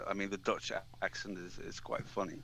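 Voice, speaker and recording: A man's voice: low voice, level tone, normal speed, creaky, bright, forceful tone. A man from Germany with a German accent chatting as a guest, self-deprecating. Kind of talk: casual chat. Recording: faint background noise.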